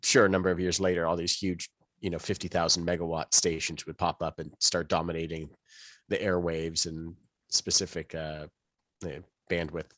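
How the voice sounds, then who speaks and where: A man's voice: low-pitched, level tone, normal speed, fairly bright tone, no echo. A man chatting casually as a podcast co-host, thinking aloud and wandering into asides.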